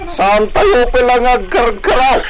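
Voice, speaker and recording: A man's voice: very high-pitched, very animated, a little slow, music in the background, full character voice, low-quality recording. A man with an Ilocano accent playing a bossy bandit gang leader in a radio drama. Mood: unhurried commanding.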